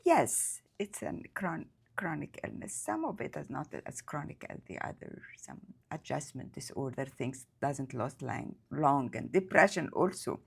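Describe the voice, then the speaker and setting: A woman speaks in a low voice. She answers as a psychiatrist guest. Her English is hesitant, full of fillers and slips in grammar. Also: sing-song, unhurried, vocal fry, breathy edge. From Egypt. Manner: hesitant, many fillers, non-native grammar. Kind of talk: conversational interview answers.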